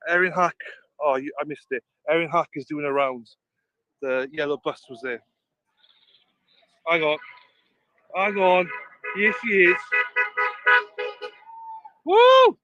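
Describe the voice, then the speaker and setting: A man's voice: a little high, sing-song, slow pace, long pauses, sharp tone. Upbeat man greeting stream viewers. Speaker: stand-in livestream co-host. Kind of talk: casual livestream chat. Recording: dull lo-fi stream audio.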